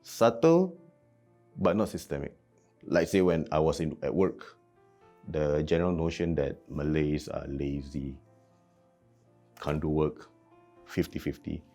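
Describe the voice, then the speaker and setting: A man giving a measured explanation as an interviewee. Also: rumbling low, expressive, mellow, soft background music.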